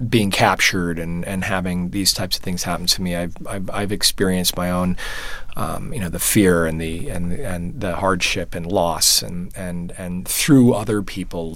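A man being interviewed as a photojournalist, answering thoughtfully and haltingly, with many restarts and fillers. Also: deep voice, a bit bright. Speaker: photojournalist guest.

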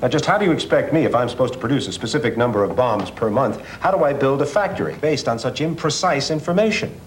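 An actor playing a gruff, impatient army general in a TV movie clip, speaking bluntly and with scorn. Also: male voice, mid-range voice, lively intonation, fast, slight background noise, natural voice. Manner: scornful, demanding. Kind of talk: film drama clip.

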